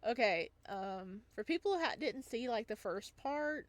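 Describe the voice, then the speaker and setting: A woman's voice: a little high, animated, unhurried, dry recording. Female let's-play host, chatty and informal, casual visual novel read-along. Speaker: gaming channel host.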